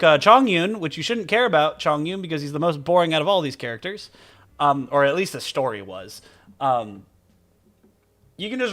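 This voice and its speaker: A man's voice: high-pitched, a bit bright. A man giving casual, comedic gameplay commentary as a streamer, talking in a rambling, joking way. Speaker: game streamer.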